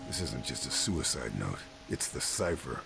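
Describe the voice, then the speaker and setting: Very deep gravelly wartime sniper. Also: male voice, very animated, very noisy, heavily acted. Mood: muttering, focused. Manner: terse, brooding, measured. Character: grim lone male wartime sniper and secret agent. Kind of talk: scripted game narration. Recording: music under the voice.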